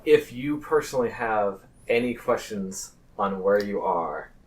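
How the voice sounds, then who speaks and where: A man improvising narration as game master of a tabletop game, pausing hesitantly mid-phrase. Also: fairly low pitch, expressive, recorded from a distance, rough recording.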